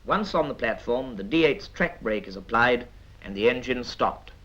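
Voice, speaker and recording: A British man reads scripted 1950s military training film narration in a measured, instructive manner with dry asides. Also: mid-range voice, animated, fairly bright tone. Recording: dull vintage 1950s film soundtrack.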